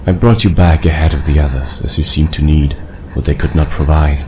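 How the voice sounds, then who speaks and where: A man playing an old dragon leader in an audio drama, calm and fatherly, with formal, measured speech. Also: extremely low pitch, a little hiss or hum. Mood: calm, reassuring. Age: older.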